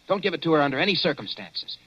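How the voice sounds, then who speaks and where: An impatient young reporter, bright forceful voice, lo-fi old radio. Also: male voice, a little high, big pitch swings, racing, slightly rough, full character voice. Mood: brisk. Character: brash young American newspaperman. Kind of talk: 1940s radio acting. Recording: lo-fi 1940s radio broadcast.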